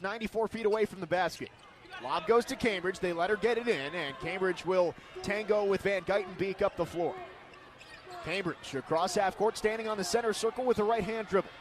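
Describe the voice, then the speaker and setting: A man calling live sports play-by-play in punchy, crisp announcer phrasing. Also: high voice.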